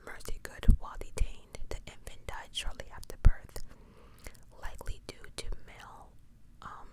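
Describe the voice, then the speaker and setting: Whispering woman, casual ASMR storyteller. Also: unhurried. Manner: conversational, self-correcting, opinionated asides. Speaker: ASMR video host.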